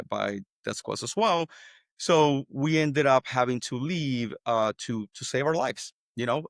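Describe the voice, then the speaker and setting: An earnest man from El Salvador talks through his story as a podcast guest, often restarting words and checking in with "right?". His voice sits at an everyday middle pitch. The recording is dry and close, with no room sound. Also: creaky, fairly bright tone, no room sound. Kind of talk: conversational interview storytelling.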